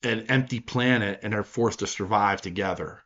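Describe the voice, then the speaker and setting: A man's voice: on the low side, moderate pace, a bit bright, muffled recording. A man in his fifties hosting a casual podcast chat, rambling and wry, poking fun at himself. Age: 50s. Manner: wry, rambling, self-deprecating. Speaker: podcast host.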